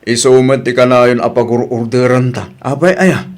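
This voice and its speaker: A man's voice: mid-range voice, fairly even intonation, moderate pace, a little mellow, natural voice. A man with an Ilocano Filipino accent playing an easygoing but exasperated village husband in a radio drama.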